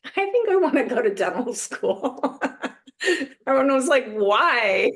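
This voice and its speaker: A woman's voice: high-pitched, sing-song, slow pace, husky. A woman in her fifties from Eastern Washington, telling her story as a guest in a casual, chatty way with lots of fillers and laughs. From Eastern Washington, USA. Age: 50s. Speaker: guest, dentist.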